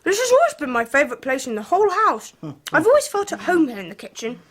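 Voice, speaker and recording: A woman's voice: high voice, sing-song, fast talker. A female actor playing an earnest, defiant, well-bred Victorian girl of twelve with an English accent in a radio drama. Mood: fond, polite. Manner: polite, precise, earnest. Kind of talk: 1990s British radio drama acting.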